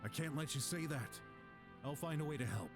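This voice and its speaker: A man as an earnest, animated young detective. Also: on the high side, a little fast. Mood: insistent. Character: earnest, stubborn, kind-hearted young male detective. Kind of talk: video game voice acting. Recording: game music behind the voice.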